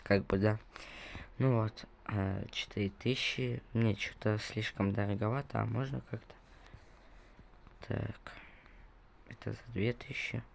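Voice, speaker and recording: A man's voice: on the low side, vocal fry, a little mellow, slight background noise. A young man casually commenting on his gameplay, rambling and correcting himself as he talks to viewers, recovering from a cough. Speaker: gaming video creator.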